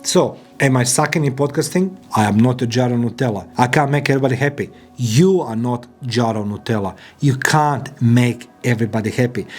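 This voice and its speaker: A man's voice: medium pitch, a little mellow, husky. A man from Croatia, giving an emphatic motivational talk in as a podcaster and business owner. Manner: emphatic, repetitive, non-native phrasing. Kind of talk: motivational monologue.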